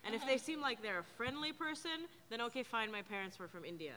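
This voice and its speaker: A Canadian-born woman filmmaker talking casually on a panel, wry and relaxed. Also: a little high, fast, slight background noise. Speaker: film director and producer. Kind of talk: panel talk. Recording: reverberant hall.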